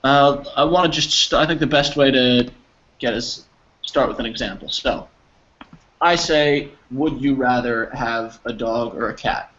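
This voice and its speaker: A patient, encouraging man leads an online English lesson, walking through examples step by step. His pitch is mid-range and unremarkable. The call audio is a bit dull, with faint hiss behind him. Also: a little slow, a bit bright. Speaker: English teacher. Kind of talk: online class teaching. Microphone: video call.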